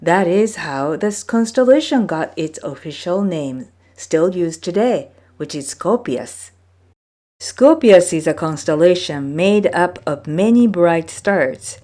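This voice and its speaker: Woman reading a folktale book aloud, really slow and deliberate, voice a bit on the low side. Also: lively intonation, dark tone. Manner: measured, deliberate phrasing. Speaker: storyteller, reader. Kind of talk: scripted narration of a folktale book.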